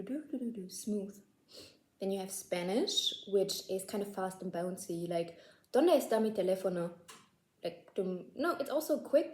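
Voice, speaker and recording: Young female host, mellow voice, chatty and self-deprecating, echoey room. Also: mid-range voice. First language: German. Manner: chatty, laughs at herself, many "like" fillers. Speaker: language-learning video host. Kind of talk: casual talking-head tips video.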